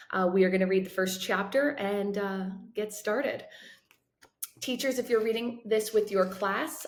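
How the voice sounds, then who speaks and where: Woman reading aloud in a roomy space. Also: medium pitch. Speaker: read-aloud host addressing teachers and students. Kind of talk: book introduction and expressive read-aloud narration. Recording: roomy echo.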